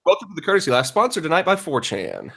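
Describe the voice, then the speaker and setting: A man's voice: on the high side, huge pitch swings, fast talker, vocal fry, fairly bright tone, slightly rough. A man co-hosting a podcast in casual comedic banter, teasing and sarcastic with a mock-serious delivery. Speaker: podcast co-host.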